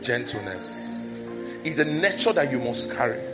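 A man's voice: mid-range voice, big pitch swings, normal speed, music in the background. A man preaching a conversational sermon that is full of personal stories.

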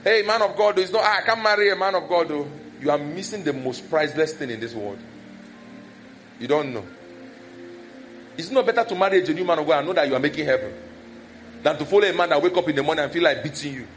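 A man's voice: fairly high pitch, expressive, quick pace, pauses often, a bit bright, a little hiss or hum. A man preaching a conversational sermon that is full of personal stories.

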